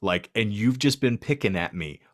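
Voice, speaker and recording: A man's voice: on the low side, expressive, moderate pace. A man hosting a casual comedic podcast, speaking in wordy, mock-formal phrases with dry humour. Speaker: podcast host.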